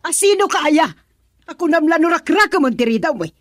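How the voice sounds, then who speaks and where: A woman's voice: high voice, lively intonation, fast talker, no room sound. A woman playing a defensive, quarrelsome mother in an Ilocano radio drama, exclamatory and argumentative as she stands up for her son. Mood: startled, suspicious.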